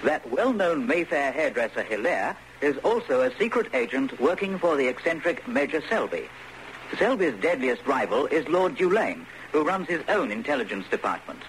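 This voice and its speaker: A man's voice: medium pitch, big pitch swings, normal speed, a little hiss or hum, rough recording. A man announcing a radio thriller serial with scripted, dramatic narration.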